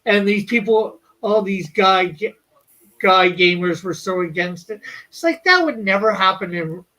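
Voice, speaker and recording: A man's voice: high voice, lively intonation, unhurried, sharp tone. A man hosting a casual podcast, keeping an eye on the live chat and talking in an easygoing way. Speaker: podcast host reading the chat.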